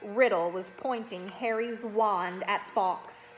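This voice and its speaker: A woman reading a children's fantasy novel aloud as an expressive, dramatic narrator. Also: medium pitch, takes it slowly, soft background music, low-quality recording. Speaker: storybook reader.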